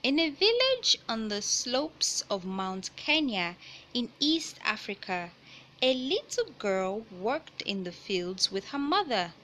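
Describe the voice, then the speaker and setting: Bright-voiced woman reading aloud, slow pace. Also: on the high side, very animated, rough recording. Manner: deliberate, explanatory, teacherly, repeating key points for young listeners. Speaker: storyteller reading a children's picture book aloud. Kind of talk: read-aloud storytelling with explanatory asides.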